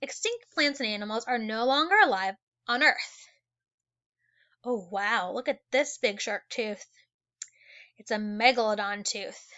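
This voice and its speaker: Female teacher for children's nonfiction read-aloud. Fairly high, bright, expressive delivery with chatty asides; slightly dull recording. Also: unhurried, long pauses. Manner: animated, exclaiming and wondering aloud for young listeners.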